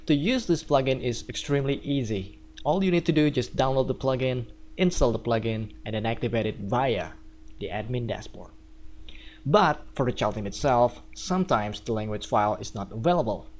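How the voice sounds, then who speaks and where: A man from Indonesia with an Indonesian accent, walking viewers through a software tutorial step by step. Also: medium pitch, slight background noise. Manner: steady step-by-step explaining. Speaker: theme developer. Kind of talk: screencast tutorial.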